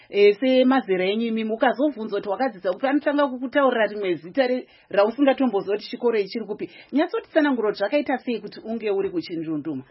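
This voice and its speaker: Husky-voiced woman hosting radio. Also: medium pitch. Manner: warm prompting questions, frequent drawn-out fillers. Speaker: radio show host. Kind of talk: radio interview, switching between English and Shona. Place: radio studio. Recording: slightly dull studio broadcast sound.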